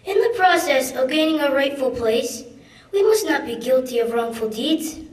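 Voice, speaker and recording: Very high young female voice, echoing oratory. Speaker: student reader. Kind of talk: dramatic reading of a historic speech. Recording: echoey hall, distant microphone.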